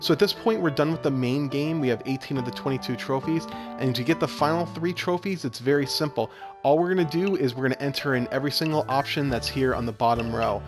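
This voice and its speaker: A man's voice: medium pitch, quick pace, few pauses. A man giving step-by-step walkthrough commentary as the host of a video game guide. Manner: explanatory, step-by-step instruction. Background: game music.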